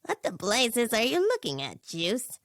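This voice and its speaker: A woman voicing a tall, imperious male circus ringleader in an audio story, with a squeaky helium-like voice and a theatrical, smug manner. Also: wildly swooping pitch, fairly bright tone, dry recording, clean studio sound, full character voice. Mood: exasperated. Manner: slow, drawn-out, theatrical, smug. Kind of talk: fan fiction audio story character voice.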